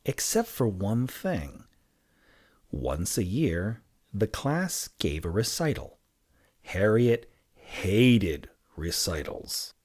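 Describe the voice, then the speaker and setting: A man narrates a children's storybook in a lowish, husky voice. He takes his time, phrasing each line deliberately and with drama. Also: big pitch swings, darkish tone. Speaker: storybook reader.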